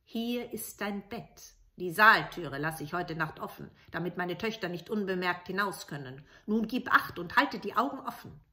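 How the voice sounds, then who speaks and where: A stern fairy-tale king, voiced by a woman storyteller, grave and commanding, with a bright, forceful tone. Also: on the low side, expressive, moderate pace, hardly any pauses. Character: stern old king, father of twelve princesses.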